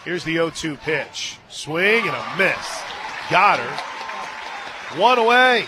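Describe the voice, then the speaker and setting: A man doing live play-by-play softball commentary for radio from a stadium booth. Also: on the high side, big pitch swings, slow. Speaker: play-by-play announcer. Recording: crowd noise behind. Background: ballpark crowd and music.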